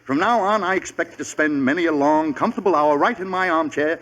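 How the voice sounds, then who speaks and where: A man's voice: a little high, fairly quick, a bit bright, close to an everyday voice. An actor playing a put-upon, middle-aged suburban husband and father in an old radio comedy, stammering and exasperated. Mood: pleased, patient. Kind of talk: 1940s radio sitcom acting. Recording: noisy old-time radio recording.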